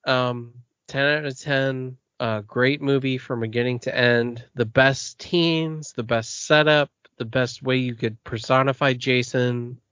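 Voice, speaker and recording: A man's voice: mid-range voice, steady tone, measured pace, few pauses, fairly bright tone, clean tone, dry recording, lo-fi sound. A man co-hosting a podcast, chatting enthusiastically and joking with plenty of fillers. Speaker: podcast co-host. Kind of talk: casual chat.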